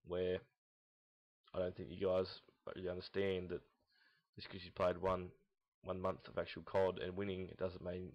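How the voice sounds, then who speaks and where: A young man with a deep voice talks through casual gaming commentary in a flat, barely varying tone. He rambles and halts, speaking bluntly and off the cuff. The recording is dull and low in fidelity. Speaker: host of a gaming team audio log. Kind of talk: casual unscripted commentary on esports team predictions. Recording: dull lo-fi, roomy echo, mouse clicks.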